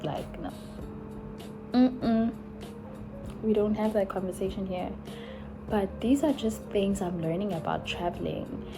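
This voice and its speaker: Young woman, unhurried reflective talk, background music clearly audible. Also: medium pitch, poor audio. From South Africa. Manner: musing, many likes and sighs. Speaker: vlogger. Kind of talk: reflective casual vlog. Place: in Italy while travelling.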